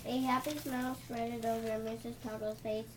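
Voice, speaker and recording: A young reader reading a story aloud haltingly, word by word, with stumbles. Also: medium pitch, little pitch movement. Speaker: student reader in readers theatre. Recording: noisy room recording.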